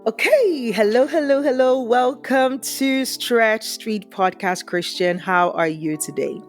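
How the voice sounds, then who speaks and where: A woman hosting a podcast in an upbeat, bubbly way with excited exclamations. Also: fairly high pitch, a little slow. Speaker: podcast host. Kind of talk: podcast interview. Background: music.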